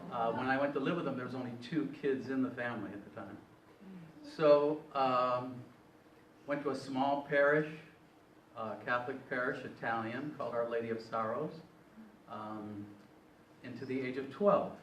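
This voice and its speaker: A man's voice: medium pitch, moderate pace. A man in his sixties shares his life story at a panel, rambling and often correcting himself. He sounds distant from the mic in a roomy, echoing hall. A faint noise sits underneath. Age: 60s. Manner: rambling, self-correcting, hesitant. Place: event hall. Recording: echoey room, far from mic.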